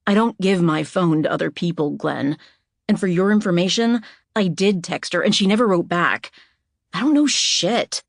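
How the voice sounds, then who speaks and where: A woman's voice: on the low side, normal speed, creaky, dry recording, studio quality. A woman narrator playing a tough, defiant female bar worker with a blunt, combative manner.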